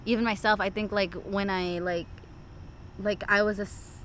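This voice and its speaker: A young woman from California whose first language was Spanish, a podcast guest who says "like" constantly and giggles often, with a slightly squeaky voice. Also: flat delivery, normal speed, a bit bright, slight background noise. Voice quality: self-described squeaky voice. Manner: chatty, uses like constantly, giggly. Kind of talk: casual chat.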